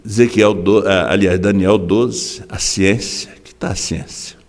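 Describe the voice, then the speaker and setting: A man preaching a sermon as pastor in a Brazilian Portuguese accent, impassioned, swinging from hushed drops to sudden shouts. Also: low voice, steady tone, measured pace, in a large hall. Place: large church hall.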